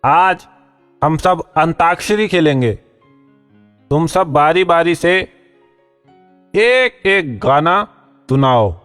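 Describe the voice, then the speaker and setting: A man's voice: fairly high pitch, lively intonation, takes it slowly, pauses often, a bit bright, clean tone, long reverb tail, soft background music. A man voicing a male donkey who poses as a king and bosses the other animals in a cartoon. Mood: pompous. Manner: bossy, commanding.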